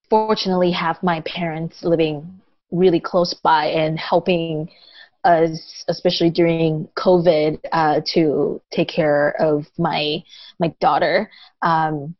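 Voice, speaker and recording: A woman who grew up in Taiwan, a guest in a casual interview, talking in a thoughtful and unhurried way with lots of pauses. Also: fairly low pitch, dry recording. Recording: dull lo-fi dry audio.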